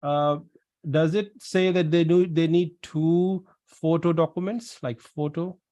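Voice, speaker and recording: A man's voice: on the high side, fairly even intonation, unhurried, warm tone. Chatty man hosting a webinar. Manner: chatty, repeats words, tells anecdotes. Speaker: webinar host, pharmacist. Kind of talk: casual webinar Q&A. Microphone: video call.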